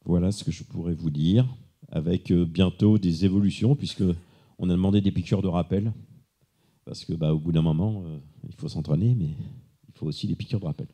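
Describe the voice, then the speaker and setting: A man's voice: sits low, a little fast, warm tone, slightly rough. A man giving an unscripted technical presentation as a conference speaker, explaining informally with some hesitation.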